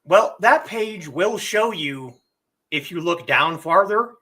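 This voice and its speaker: Bright-voiced man with a lingering cough. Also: high voice, slow. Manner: relaxed, chatty, answers chat questions. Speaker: live stream host teaching language tones. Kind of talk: casual explanatory live stream.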